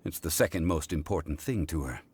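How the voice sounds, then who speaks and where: A man playing a calm, refined, learned gentleman in a video game, speaking in a measured, formal way. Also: extremely low pitch, breathy edge, full character voice. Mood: composed, explanatory. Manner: measured, formal, old-fashioned diction. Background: soft game music.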